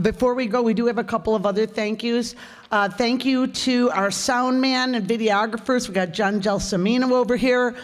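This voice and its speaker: Woman addressing audience in echoey hall. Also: mid-range voice. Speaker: radio theater group host. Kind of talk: informal thank-you speech to audience. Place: town hall stage before an audience. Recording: reverberant hall.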